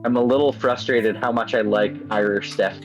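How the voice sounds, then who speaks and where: A male guest speaks casually and earnestly in a mid-pitched voice, restarting often with many fillers. The sound is dull and lo-fi, with soft music behind. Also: fairly even intonation, normal speed. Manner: hesitant, filler-heavy, earnest. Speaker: game developer guest. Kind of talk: casual chat. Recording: dull lo-fi mic, faint music.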